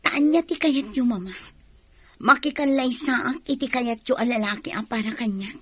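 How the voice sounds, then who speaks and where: A woman's voice: sits high, normal speed, fairly bright tone, slightly rough, no room sound. A woman with an Ilocano Filipino accent playing a defiant young daughter in a radio drama. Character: defiant young daughter who loves singing.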